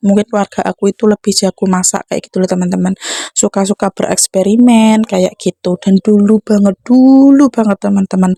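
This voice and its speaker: A woman's voice: mid-range voice, warm tone, no echo, clean studio sound. A young woman narrates a home cooking vlog in a casual, chatty way, addressing viewers like friends.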